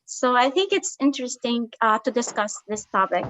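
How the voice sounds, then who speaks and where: A woman's voice: on the high side, lively intonation, fairly bright tone, slight background noise. A woman from Palestine, an assistant professor, introducing herself on a call with frequent fillers and hesitations. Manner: halting, many fillers, laughs easily. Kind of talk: self-introduction in conversation. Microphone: video call. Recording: dull lo-fi call audio.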